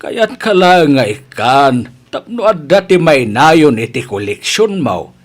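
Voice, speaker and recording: Man playing smitten older uncle, raspy, slow-paced, eager, comedy radio drama. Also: a little high, sing-song, exaggerated voice. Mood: eager, wooing.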